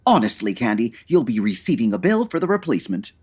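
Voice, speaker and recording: Give me a husky, theatrical, fussy dandy. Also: male voice, medium pitch, big pitch swings, quick pace, a little mellow. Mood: haughty, put out. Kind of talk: comedy audio drama.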